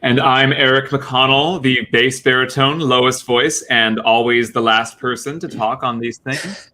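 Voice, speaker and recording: Male singer, medium pitch, bright projected tone, wry informal chat. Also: fairly even intonation, a little slow. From Denver, Colorado. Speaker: opera singer, bass-baritone. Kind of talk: casual self-introduction chat.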